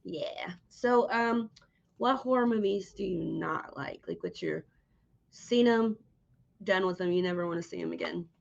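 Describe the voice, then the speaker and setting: A woman's voice: on the low side, unhurried, vocal fry, muffled recording. A woman hosting an interview as a casual chat, informal and giggly in the way she talks. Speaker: interviewer, podcast host.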